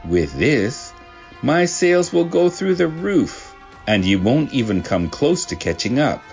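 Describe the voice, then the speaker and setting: A man's voice: medium pitch, very animated, measured pace, gravelly, darkish tone, faint music behind. Man playing cocky, muffled young salesman. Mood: smug. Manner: smug, boastful, dismissive. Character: cocky, suspicious young male office salesman, boastful rival. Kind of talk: manga dub character acting.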